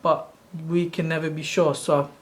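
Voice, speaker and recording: Soft-spoken guy in his 30s, kinda rambly, keeps correcting himself, pretty monotone, slightly high voice. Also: normal speed, slight background noise. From Sri Lanka. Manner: rambling, loose and self-correcting. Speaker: channel host. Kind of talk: casual screen-share walkthrough.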